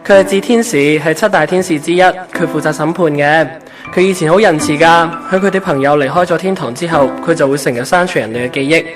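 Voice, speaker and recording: A man's voice: fairly high pitch, fast, close to an everyday voice. A man playing a kindly, easygoing ancient saint of love in a Hong Kong Cantonese radio drama. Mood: gentle, chatty. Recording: background music under the voice.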